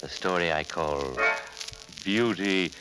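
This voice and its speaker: A macabre horror host with an extremely deep voice. He narrates in brooding, deliberate, theatrical 1940s radio style, every phrase ominous. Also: loud music behind the voice.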